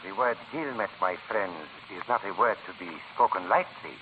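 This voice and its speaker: A man's voice: lowish voice, normal speed, lightly acted. An actor playing a grave, formal, foreboding male island innkeeper in 1940s radio style. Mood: ominous. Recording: tinny, noisy 1940s radio recording.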